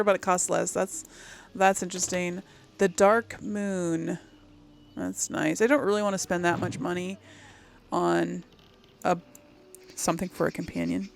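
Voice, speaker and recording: Slow, creaky-voiced woman musing over a game. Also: lowish voice. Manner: musing, thinking aloud. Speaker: gamer, series host. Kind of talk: casual game playthrough commentary.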